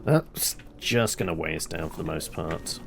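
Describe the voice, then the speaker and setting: A man's voice: a bass voice, moderate pace, vocal fry, music in the background. A man giving wry, rambling casual commentary as he plays a game, thinking aloud. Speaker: game streamer.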